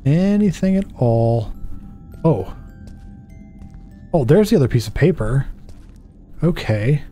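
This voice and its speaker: Mellow-voiced man muttering through a puzzle game. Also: fairly high pitch, very animated, slow pace, faint music behind. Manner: muttering to himself, puzzled and self-deprecating. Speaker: gaming streamer. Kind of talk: casual let's-play commentary. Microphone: headset.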